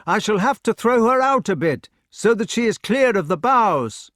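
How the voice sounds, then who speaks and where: A man's voice: high-pitched, animated, normal speed, a bit bright, dry recording, pitched above the performer's own voice. Man as an earnest, indignant knight, raised voice. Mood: calling out. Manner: formal, protesting. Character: young male knight, earnest, indignant, courteous, melancholy.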